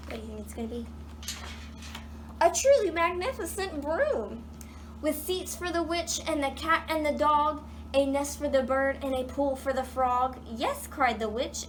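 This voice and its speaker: A woman reading a children's picture book aloud in an animated way, pausing to put questions to young listeners. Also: sits high, slight background noise. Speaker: read-aloud storyteller.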